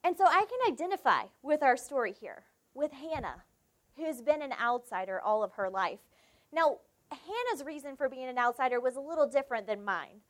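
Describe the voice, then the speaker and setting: A woman's voice: high voice, very animated, moderate pace. A young woman from South Carolina with a Southern accent, giving a devotional sermon and telling a personal story, acting out the dialogue in it. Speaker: preacher.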